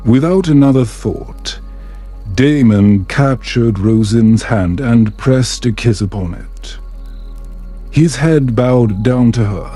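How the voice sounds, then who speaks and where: Male storyteller for sleep tales: mellow, unhurried, gentle and measured, music underneath. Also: mid-range voice. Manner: soft, soothing, measured. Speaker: storyteller, narrator. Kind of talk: calm scripted bedtime story narration.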